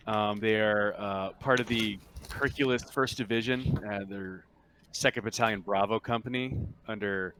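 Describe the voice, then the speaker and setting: Low-voiced man running a tabletop game. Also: steady tone, fairly bright tone, a little hiss or hum. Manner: halting, informal explanation with many pauses. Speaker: game master. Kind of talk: briefing players in a tabletop roleplaying game.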